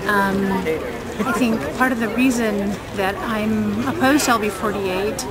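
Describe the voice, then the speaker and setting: A woman from Lincoln at a rally, explaining her opposition in a measured way with fillers. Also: medium pitch, fairly even intonation. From Lincoln, Nebraska. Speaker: rally participant. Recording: rally with loud crowd.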